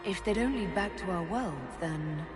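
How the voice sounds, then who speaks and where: A woman plays a young elven mage who leads, asking one probing question after another. Her voice sits a little low, with game music clearly playing behind. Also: lively intonation, normal speed, natural voice. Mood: curious. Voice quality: curious, questioning manner. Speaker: player character. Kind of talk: video game cutscene acting. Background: game soundtrack music.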